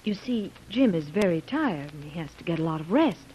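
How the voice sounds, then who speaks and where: A woman's voice: on the low side, expressive, fairly quick, warm tone, lightly acted. An actress playing a capable, caring young woman nursing patients in an old jungle radio serial. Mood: reassuring. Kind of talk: old-time radio drama acting. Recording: muffled, noisy old radio recording.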